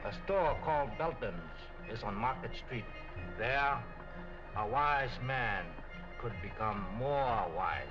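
Very slow, grave old sage. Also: male voice, mid-range voice, expressive. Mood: guarded. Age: older. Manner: formal, cryptic, deliberate. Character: grave, wise old Chinese shopkeeper. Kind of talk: 1940s film acting. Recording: muffled 1940 film soundtrack with music.

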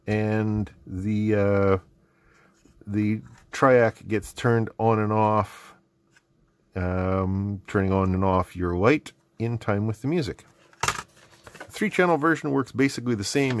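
Low-pitched Canadian man, middle-aged. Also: no echo. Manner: self-deprecating, rambling. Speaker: host of an electronics hobby video series. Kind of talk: casual unscripted workbench narration. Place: indoor hobby electronics workbench. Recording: clearly noisy background with clicks.

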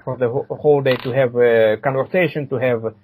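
A man, answering interview questions haltingly and repeating words and phrases. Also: medium pitch, fairly even intonation, phone-quality sound, a little hiss or hum. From Ukraine. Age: 40s. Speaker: podcast guest.

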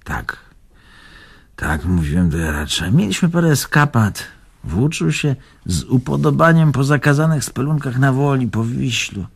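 An actor playing a nostalgic old bon vivant in a radio drama, reminiscing expansively and wistfully with sighs. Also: male voice, mid-range voice, animated, normal speed, creaky, husky. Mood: pensive. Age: older.

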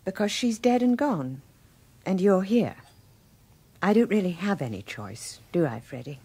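An actress playing a meek, anxious, hesitant wife in a radio drama. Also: female voice, mid-range voice, very animated, a little slow, warm tone, breathy edge, exaggerated voice. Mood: resigned. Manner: hesitant, pleading. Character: meek, anxious, wistful wife, submissive and uneasy. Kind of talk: British radio drama acting.

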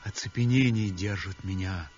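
An actor playing a feverish, tormented painter recounting a nightmare in a slow, intense monologue for a 1960s radio play. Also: male voice, sits low, darkish tone, close to an everyday voice. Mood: haunted, dazed. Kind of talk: 1960s Soviet radio drama acting. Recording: muffled 1960s radio recording, long reverb, music.

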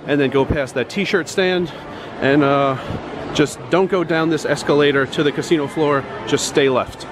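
A man's voice: fairly high pitch, moderate pace. Man walking and giving directions. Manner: plain, instructive, pointing the way while walking. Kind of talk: casual walking guide. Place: indoor casino halls and an outdoor sidewalk by a highway. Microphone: handheld camera at arm's length. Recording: traffic noise behind.

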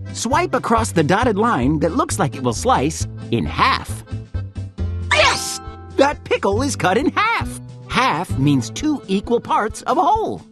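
A woman voicing an excitable, friendly little robot helper who gives encouraging instructions in a children's cartoon game. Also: mid-range voice.

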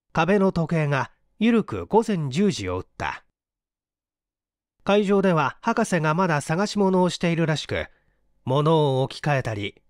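Expressive male storyteller, lively pitch. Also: no echo, clean studio sound. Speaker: audiobook reader, stage actor. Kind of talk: dramatic scripted story narration.

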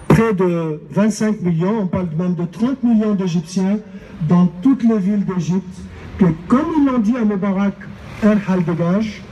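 Older egyptian guy speaking at a street protest, high mellow voice, handheld mic, some street noise. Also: rough recording. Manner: emphatic, hesitant with many fillers. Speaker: rally speaker. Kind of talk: outdoor protest speech. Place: street rally outdoors. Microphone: handheld microphone.

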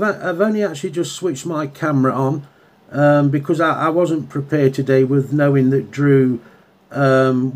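A man's voice: mid-range voice, a little mellow. A man chatting casually over CB radio as a hobbyist, full of radio jargon. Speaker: radio hobbyist and video maker. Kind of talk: casual CB radio contact chat. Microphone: handheld radio microphone.

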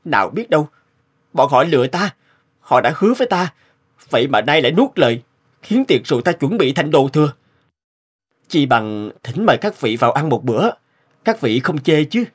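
A man playing an earnest, hopeful, expressive young scholar in an animated moral tale. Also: fairly high pitch, normal speed, long pauses, husky. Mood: rueful. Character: earnest, hopeful young male scholar seeking exam money.

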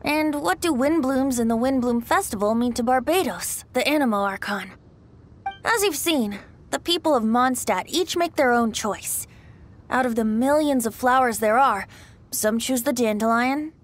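A woman's voice: sits high, expressive, moderate pace. A woman voicing a carefree, teasing young male bard in a video game, light and boyish with a lilting delivery. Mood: gently reflective. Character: playful, carefree youthful male bard, secretly wise. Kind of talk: video game character acting.

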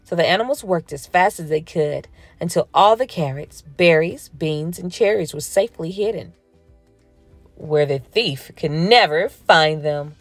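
A woman slowly reading a children's picture book aloud in a gentle storytelling manner. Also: sits low, no room sound, faint music behind. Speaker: storybook reader. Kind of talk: children's read-aloud narration.

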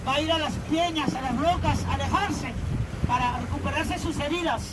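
Peruvian boat tour guide, high-pitched, explanatory live commentary, heavy engine and crowd noise. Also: normal speed, recorded from a distance. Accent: Peruvian Spanish. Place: outdoors on an open tour boat. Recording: loud boat motor noise, crowded open-air recording. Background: motorboat engine, people around.

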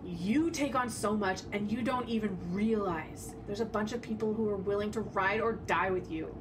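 A woman acting as a brash, sarcastic punk gunslinger, tough and fiercely loyal. She speaks at a middle pitch in an audio drama, a little away from the mic with clear noise behind. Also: moderate pace, natural voice. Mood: fierce, exasperated. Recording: distant mic, noisy background.

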